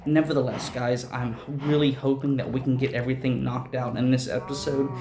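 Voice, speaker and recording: A man hosting casual game commentary, enthusiastic and rambling, with a raspy voice and a lingering cough. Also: medium pitch, level tone, brisk, reverberant, background noise. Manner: enthusiastic, rambling, many restarts. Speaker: host.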